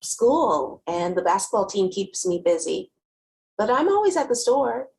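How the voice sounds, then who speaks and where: A woman playing a wry, guarded, sharp-tongued teenage girl who narrates her own story conversationally in a dramatic novel reading. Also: medium pitch, very animated, measured pace, gravelly, low-quality recording. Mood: guarded, frustrated. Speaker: actor.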